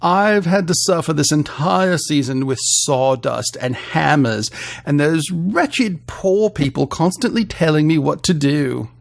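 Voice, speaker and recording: A man's voice: a little high, animated, measured pace, vocal fry, few pauses. A man voicing a snobbish, scornful rich man in an audio story, with a thick affected snooty accent and haughty manner. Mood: whining disdain. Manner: pompous, haughty, disdainful.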